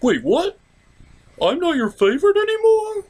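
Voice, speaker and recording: A man's voice: extremely high pitch, wildly swooping pitch, moderate pace, darkish tone, voice pushed up, full character voice. A man voicing a meek, flustered, self-deprecating frog puppet in a comedy review show.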